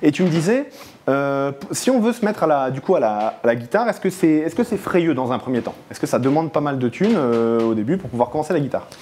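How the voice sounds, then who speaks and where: A man's voice: on the high side, lively intonation, quick pace, darkish tone. A man hosting a casual studio interview, speaking informally and encouragingly to his guest. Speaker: interviewer, host. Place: indoor studio.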